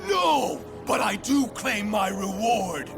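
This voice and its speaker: An actor playing a proud, anguished, enraged ancient Greek warrior in a video game cutscene, declaiming intensely and often shouting. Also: male voice, high voice, lively intonation, slow pace, vocal fry, music in the background, exaggerated voice. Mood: indignant, furious.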